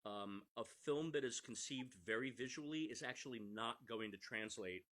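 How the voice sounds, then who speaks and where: A man's voice: mid-range voice, moderate pace, a bit bright. A man speaking earnestly and conversationally as an interview guest, sometimes repeating words as he starts a phrase. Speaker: screenwriter and podcast creator, interview guest.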